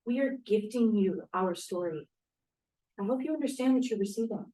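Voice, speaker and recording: A woman's voice: mid-range voice, expressive, normal speed, dark tone, close to an everyday voice. Woman acting blunt young feminist, echoey room. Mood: earnest. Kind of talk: table read of a play script. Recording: echoey room, distant microphone.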